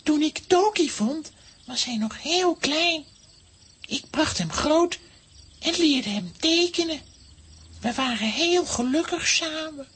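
A woman's voice: sits high, animated, slow, a bit bright, heavily acted. A woman playing a poor, earnest little boy telling things simply in a children's audio drama. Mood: wistful. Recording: background noise, crickets.

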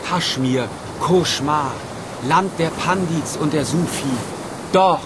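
A man's voice: a little high, slow, noisy background. An actor playing an entranced man in a radio drama, conjuring a faraway land. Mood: incantatory.

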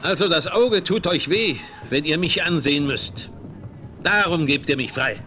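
An actor playing a ninety-year-old frontier villain in a radio play, defiant and sneering. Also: male voice, on the high side, moderate pace, pushed and bright, soft background music. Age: older. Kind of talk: 1970s radio play acting.

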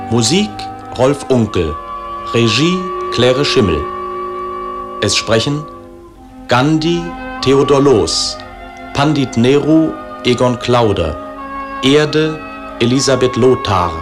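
Slow, formal male radio announcer over music. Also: mid-range voice. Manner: deliberate, formal enunciation. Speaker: announcer of radio play title and cast.

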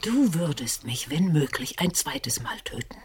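Female ghost of a dead wife: husky, whispery, wistful, reproachful, very mobile pitch. Radio drama. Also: sing-song, a little slow, exaggerated voice. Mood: hushed pleading. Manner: hushed, often drops into whispering.

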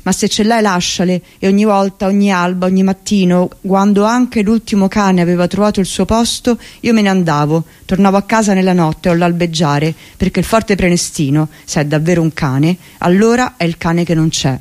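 A reader giving a measured, reflective first-person memoir reading on radio. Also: mid-range voice, a little mellow.

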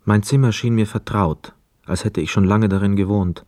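An actor playing a reflective, weary homecoming soldier in a radio play, with measured, lyrical phrasing. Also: male voice, fairly low pitch, darkish tone, no room sound, clean studio sound, natural voice. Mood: musing. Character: weary young war returnee, reflective, dreamy wanderer. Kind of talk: literary radio play monologue and dialogue.

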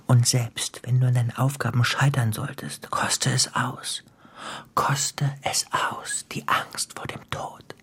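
An actor playing a menacing, philosophizing crime boss in a German radio drama, theatrical and toying, often sinking into a breathy whisper. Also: male voice, mid-range voice, level tone, takes it slowly, a bit bright, exaggerated voice. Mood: intimate, whispering menace. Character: menacing male crime boss, playful, philosophizing, coldly cruel.

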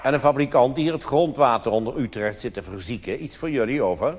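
A man's voice: medium pitch, quick pace, pitched below the performer's own voice, lightly acted. A man playing an earnest informant calling the police over a two-way radio in a stage sketch, with formal, repetitive phrasing. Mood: earnest tattling. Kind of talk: stage comedy sketch, radio call procedure. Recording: reverberant theatre hall, background noise.

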